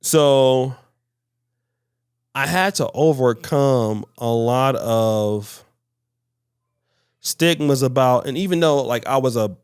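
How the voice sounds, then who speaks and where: A man's voice: medium pitch, slow, long pauses, clear, resonant tone, no room sound, clean studio sound. A man hosting a podcast discussion, often stumbling and repeating words as he searches for his phrasing. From United States. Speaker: podcast host.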